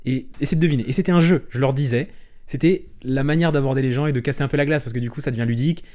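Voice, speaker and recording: A young French man chatting openly as an interview guest, relaxed and rambling, with frequent restarts and fillers. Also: mid-range voice, very fast. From France. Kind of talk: casual conversational interview.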